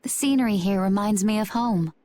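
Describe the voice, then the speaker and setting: A woman's voice: mid-range voice, a little fast, warm tone, close to an everyday voice. Woman acting a cool, composed, enigmatic young woman. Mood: calm, guarded. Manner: composed, understated. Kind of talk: video game voice acting.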